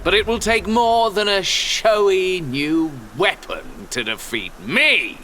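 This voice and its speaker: A man's voice: high voice, very animated, slow, slight background noise, heavily acted. An actor playing a haughty, theatrical male villain in a video game, with a sneering, pompous manner. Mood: menacing. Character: gloating, haughty male villain serving a dark master. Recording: loud music over the voice. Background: loud game music.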